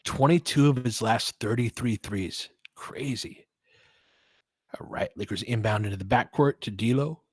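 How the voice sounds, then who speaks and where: A young man talks loosely through a game as it plays, calling the action and answering his chat by name. His voice sits at an ordinary middle pitch. The sound is dry and close, with no room in it. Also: a little slow, breathy edge, no echo. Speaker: host of a live game watch-along stream. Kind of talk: casual live play-by-play and chat with viewers.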